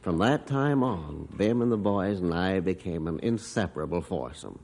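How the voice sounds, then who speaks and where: A man's voice: low-pitched, expressive, normal speed, a little mellow. A reflective middle-aged father. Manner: reflective storytelling. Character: middle-aged father, gruff and warm-hearted. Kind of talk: 1950s radio drama acting.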